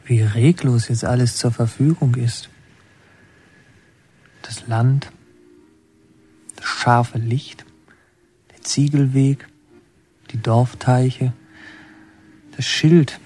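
An actor playing a reflective narrator who recalls a northern childhood in a measured, evocative radio drama manner. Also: male voice, medium pitch, flat delivery, dark tone, a little hiss or hum, natural voice. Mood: wistful, absorbed. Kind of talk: literary radio drama narration, present tense. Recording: music under the voice. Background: music.

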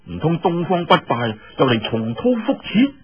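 A man voicing a young swordsman hero in a story, curious, familiar and colloquial, with a husky voice and a Cantonese delivery. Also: a little high, very animated, normal speed. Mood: knowing, teasing. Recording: muffled, dull lo-fi audio.

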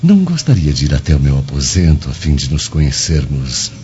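An actor playing a smug, lecherous Roman chief of the guard in a radio drama, full of insinuation. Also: male voice, rumbling low, huge pitch swings, brisk, mellow, full character voice. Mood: insinuating. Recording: muffled lo-fi radio drama, music underneath.

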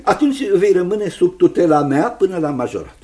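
Kindly old lawyer-guardian, radio play: fussy, halting, formal, very animated pitch that leaps about. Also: male voice. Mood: reassuring, businesslike. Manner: halting, repetitive, chuckling, formal. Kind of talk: radio drama acting.

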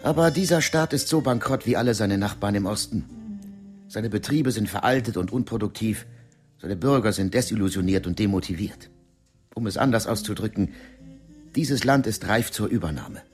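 An actor playing a calm underground fixer in a radio drama, speaking in a matter-of-fact, articulate, lecturing way. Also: male voice, fairly low pitch, moderate pace, faint music behind, close to an everyday voice. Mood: lecturing, assured. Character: calm, businesslike underground fixer instructing fugitives in divided Germany.

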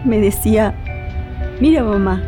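A woman's voice: mid-range voice, a little slow, music in the background, natural voice. An actress playing an anxious, tender middle-aged mother with a Chilean accent in a radio drama. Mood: tender, nostalgic. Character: anxious, grieving Chilean mother lost in memories.

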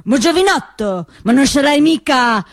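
A woman playing an unctuous, preachy old priest who scolds in a drawn-out, sanctimonious way. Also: sits high, animated, no echo, clean studio sound. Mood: sanctimonious, scolding. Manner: drawn-out, sanctimonious, repeats a filler word.

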